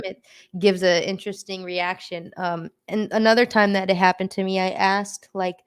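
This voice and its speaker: A woman's voice: on the low side, clear, resonant tone, no room sound, clean studio sound. A woman casually sharing personal experiences in a group discussion, in an informal, conversational way.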